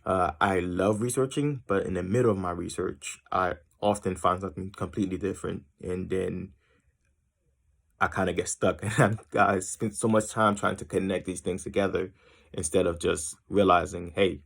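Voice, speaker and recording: A young man from Haiti introduces himself in a loose, wandering way, circling off on tangents and filling pauses with ums. His voice sits very low with a gravelly, fried edge. He sounds easygoing and unscripted. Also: fairly even intonation, a bit bright. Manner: rambling and tangential, many ums and uhs. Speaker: student introducing himself to a class. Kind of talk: casual self-introduction.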